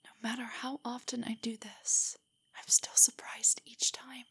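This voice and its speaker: A woman playing a shy, nerdy young girlfriend in an intimate audio roleplay, soft and breathy, stammering with nerves. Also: on the high side, little pitch movement, measured pace, whispered, heavily acted. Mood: awed, soft. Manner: nervous, stammering, hesitant rambling. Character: shy, nerdy, easily flustered young adult girlfriend on a date.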